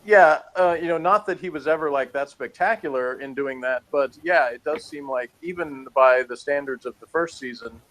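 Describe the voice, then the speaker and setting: A man's voice: on the high side, moderate pace, hardly any pauses, fairly bright tone, poor audio. A man chatting casually as a reviewer, giving his opinions on an episode in a rambling way.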